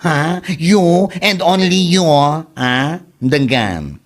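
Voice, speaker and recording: A woman playing an excitable, gossipy friend who scolds theatrically in a comedic audio drama. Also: low voice, drawn-out words, exaggerated voice. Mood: scandalised, reproachful. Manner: theatrical, exclamatory, reproachful.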